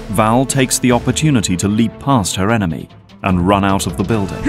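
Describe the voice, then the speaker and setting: Low-voiced male drama narrator over music. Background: background music.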